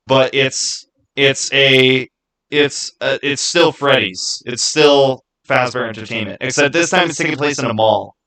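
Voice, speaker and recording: A man with a bright, forward voice hosts a casual livestream. He rambles and thinks aloud, speaking to viewers by name in a chatty way. His pitch sits in an ordinary middle range. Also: a little slow. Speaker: livestream host. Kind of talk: casual livestream chat, answering viewer comments.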